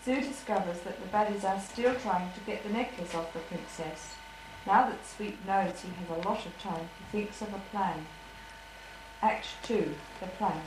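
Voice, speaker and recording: A woman's voice: mid-range voice, normal speed. A female narrator reading out an amateur puppet show story, recorded on an echoey, noisy old home video.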